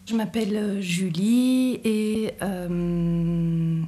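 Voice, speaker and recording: A woman from Brussels, a university teacher speaking thoughtfully in an interview, pausing often with fillers. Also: mid-range voice, mellow. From Brussels, Belgium. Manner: thoughtful, hesitant, many pauses and fillers. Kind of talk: reflective interview testimony.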